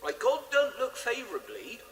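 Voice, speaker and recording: A man acting as a stern, God-fearing villager in an audio drama, with a high, lively pitch that swings as he admonishes. His plain rustic talk rings through a reverberant stone space. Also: moderate pace, full character voice. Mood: stern warning. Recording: echoey reverberant church, faint noise.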